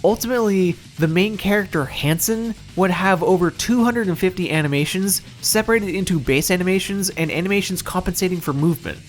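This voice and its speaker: A man's voice: high voice, a little fast, few pauses. A man narrating a scripted video game review in a wry, opinionated, casually profane manner, as the reviewer himself. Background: music.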